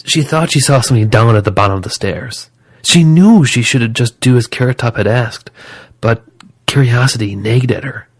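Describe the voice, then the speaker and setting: A man's voice: medium pitch, moderate pace. A man reading scripted story narration as the narrator of an audio drama.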